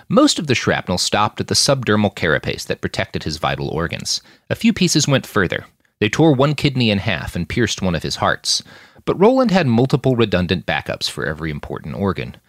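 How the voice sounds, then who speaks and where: Male narrator for fiction podcast. Lowish voice, hugely varied intonation, dry close sound. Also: dry recording. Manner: expressive, acting out dialogue. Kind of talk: dramatic audiobook-style narration of military science fiction.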